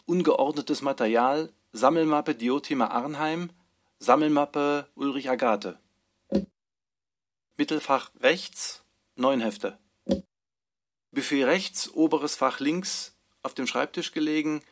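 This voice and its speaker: Guy slowly reading inventory stuff for radio, short clipped list-like phrases, middle pitch. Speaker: reader in a radio production. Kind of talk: scripted reading of an archive inventory.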